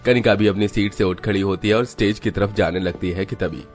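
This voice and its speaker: A man's voice: fairly low pitch, steady tone, brisk, faint music behind. A man reading scripted narration for a children's moral story.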